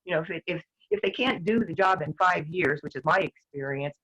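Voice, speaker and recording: An older woman talking earnestly as a guest, rambling with restarts, her voice going hoarse. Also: on the low side, a little fast, a bit bright. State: losing her voice, clearing her throat. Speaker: guest activist. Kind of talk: casual talk-show discussion. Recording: dull lo-fi sound.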